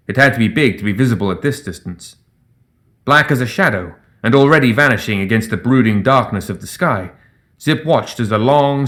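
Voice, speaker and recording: A man's voice: medium pitch, a bit bright. A man reading scripted fiction as an audiobook narrator.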